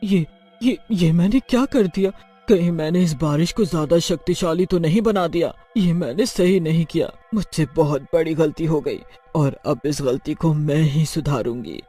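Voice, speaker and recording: A man plays a female cartoon witch with a high voice. She is earnest and pleading, full of remorse and determined to do good. Soft music plays behind the dramatic delivery. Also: normal speed, breathy edge. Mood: remorseful. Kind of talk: dramatic Hindi cartoon dialogue.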